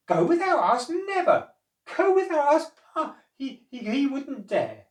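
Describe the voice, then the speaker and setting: High, shrill and screeching, these are careless storybook monkeys gone frantic, as a man puts them on. The voice leaps far above a normal pitch and swings wildly, loud and piercing, heard in a roomy space from a little way off. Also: sing-song, a little slow, exaggerated voice. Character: frantic chattering young monkeys.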